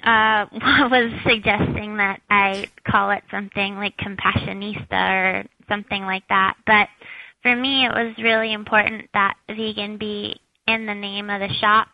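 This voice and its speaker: A woman with a squeaky high voice, a shop owner chatting as an interview guest, with lots of fillers. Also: flat delivery, unhurried, no echo, dull audio. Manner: chatty, many fillers, laughs at herself. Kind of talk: casual interview answers.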